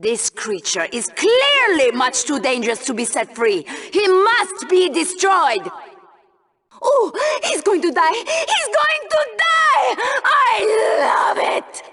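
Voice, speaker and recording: Bloodthirsty arena show hostess, very slow drawn-out delivery, animated pitch, gleeful, sadistic, theatrical game commentary. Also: female voice, shouting, heavily acted. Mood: mock-grave. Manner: sadistic, melodramatic.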